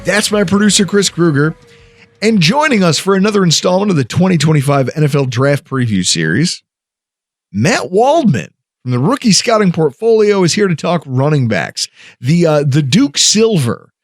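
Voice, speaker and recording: A man hosting a podcast, enthusiastic and quick to laugh. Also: on the high side, sing-song, dry recording, clean studio sound. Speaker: podcast host. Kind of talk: casual podcast chat.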